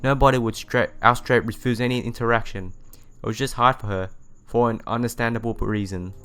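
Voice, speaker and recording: A man's voice: medium pitch, fairly even intonation, a little fast, a bit bright, clean tone. A man reading a visual novel aloud, with casual asides and some stumbles over words that he goes back over. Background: music playing behind the voice.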